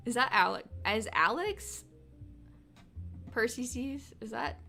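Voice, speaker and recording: A woman in her twenties chatting on a livestream, telling excited stories in a casual, rambling way. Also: on the high side, takes it slowly, creaky, faint music behind. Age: 20s.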